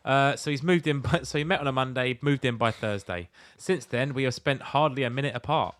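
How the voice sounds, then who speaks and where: A man's voice: mid-range voice, brisk, fairly bright tone. Blunt, sweary man co-hosting a podcast. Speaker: podcast co-host. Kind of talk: casual comic chat, reading out letters and reacting.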